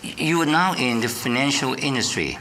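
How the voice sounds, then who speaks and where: A man's voice: medium pitch, expressive, normal speed, sharp tone. A man hosting a studio interview, prompting his guest with questions and frequent short acknowledgments. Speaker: interview host. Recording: studio with clear background noise.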